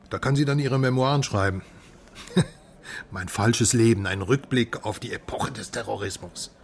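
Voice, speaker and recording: A man's voice: mid-range voice, close to an everyday voice. A man acting in a radio drama as a philosophizing, optimistic drinker talking expansively to his friend. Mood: amused, content.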